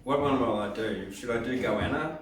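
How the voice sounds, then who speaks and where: An Australian man with a croaky, dry throat, chatting hesitantly on stage at an open mic between songs, repeating words as he decides what to play. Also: mid-range voice, moderate pace. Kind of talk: casual banter between songs. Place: indoor venue hall. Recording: echoey hall from far off.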